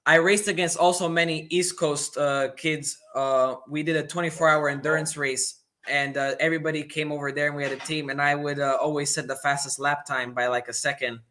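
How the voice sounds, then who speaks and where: A young man from the United States answers interview questions in short, halting bursts, filling the gaps with "um" and "basically." His voice is bright and a little high. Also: flat delivery. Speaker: guest, young racer.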